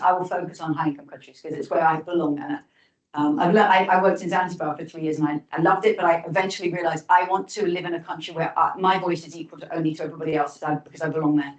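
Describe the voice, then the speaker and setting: Woman lecturer, thinks out loud, restarts a lot, echoey hall, mic kinda far. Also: low-pitched, steady tone, quick pace. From United Kingdom. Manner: thoughtful, restarts often, reframes questions. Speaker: guest lecturer. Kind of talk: lecture answering audience questions.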